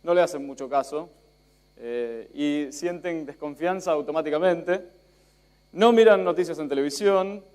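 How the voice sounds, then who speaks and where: A slightly high-voiced young man, born in Spain but sounding Argentine, lectures to a live audience. He talks casually, trips over restarts and pokes fun at himself. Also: a little mellow, clear, resonant tone. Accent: Argentine Spanish. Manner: chatty, self-joking, many fillers and restarts. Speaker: conference speaker. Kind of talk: live conference talk.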